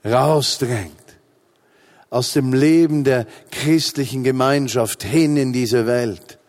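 A man's voice: mid-range voice, slow, darkish tone. A Swiss man in his forties with a Swiss German accent, preaching a humorous sermon with emphatic repetition. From Switzerland. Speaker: pastor.

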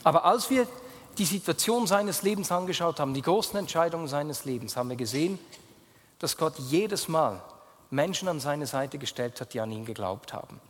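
A Swiss German man preaching with a fairly high voice. He speaks earnestly and addresses his listeners directly, and he drops low and quiet in prayer. A long reverb trails after his words in a church hall. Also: lively intonation, moderate pace. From Switzerland. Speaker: pastor. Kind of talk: sermon.

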